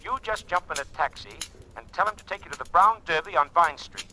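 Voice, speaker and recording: Smooth-talking 1940s radio ladies' man. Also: fairly high pitch, expressive, fast, sharp tone, a little hiss or hum, rough recording. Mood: breezy, flirtatious. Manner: breezy, insinuating, self-assured. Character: smooth-talking, flirtatious Hollywood man, confident and persuasive.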